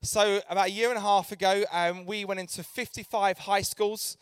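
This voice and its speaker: A man's voice: sits high, steady tone, normal speed, smooth, no room sound. A man leading a youth ministry, speaking passionately to a church audience with emphatic, repeated rallying phrases. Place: church event hall.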